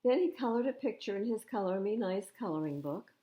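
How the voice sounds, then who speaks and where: A woman reads a children's story aloud as a storytime host. Her pitch is lively and varied, and she adds conversational asides between passages. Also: a little fast, highs cut off. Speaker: library storytime reader.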